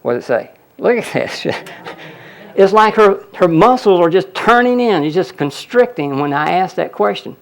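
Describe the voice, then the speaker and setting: A man's voice: sits high, lively intonation, moderate pace, vocal fry, low-quality recording. A man presenting a healing seminar, explaining a live demonstration to an audience and repeatedly pointing things out. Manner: explanatory, audience-addressing, frequent "look at that".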